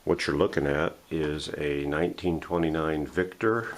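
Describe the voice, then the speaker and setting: A man's voice: extremely low pitch, steady tone, normal speed. A man hosting a casual, unscripted workshop show-and-tell in a folksy, self-deprecating way, recorded indoors at home on a camera mic. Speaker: host of a vintage radio restoration channel.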